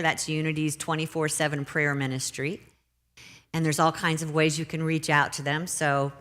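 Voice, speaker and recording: A middle-aged woman delivers a sermon from a church pulpit, her voice pitched low. She speaks gently and without hurry, drawing out key phrases to let them land. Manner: gentle, unhurried, slows for emphasis. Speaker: minister. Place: church sanctuary.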